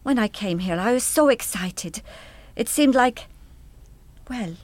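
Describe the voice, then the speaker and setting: An actress playing a wistful, hesitant German woman in a radio play, speaking in a reflective, halting way. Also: on the high side, lively intonation, slight background noise. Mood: troubled, wistful. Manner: reflective, halting, trailing into reverie.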